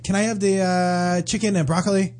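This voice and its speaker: A man's voice: sits high, flat delivery, fairly quick, a little mellow. A man co-hosting a comedy radio talk show, sarcastic and ranting. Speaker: co-host.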